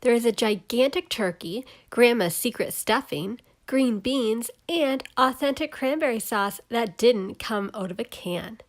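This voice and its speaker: A woman reads to young children in a somewhat high voice. Her delivery is animated and careful, with clear enunciation, in the style of an expressive storybook read-aloud. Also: a little slow, few pauses. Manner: animated, clearly enunciated, spells out and explains words.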